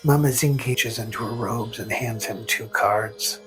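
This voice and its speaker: A man narrating a scripted fantasy story in the second person, with a gentle, whimsical storytelling manner. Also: medium pitch, a little slow, rough recording. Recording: faint music under narration.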